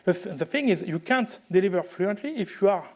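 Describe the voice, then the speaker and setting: A man with a French accent gives a conference talk, his voice pitched somewhat high for a man. He speaks haltingly, restarting words and filling pauses with "uh" as he works through his points. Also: lively intonation, a little mellow. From France. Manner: halting, frequent restarts and fillers. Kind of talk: conference presentation.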